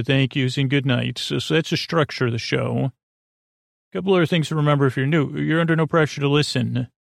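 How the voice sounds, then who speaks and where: A man hosting a sleep podcast with a meandering, goofy, halting monologue that drifts into tangents. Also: medium pitch, vocal fry, no room sound, studio quality. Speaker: podcast host. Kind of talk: rambling bedtime monologue introducing a sleep podcast.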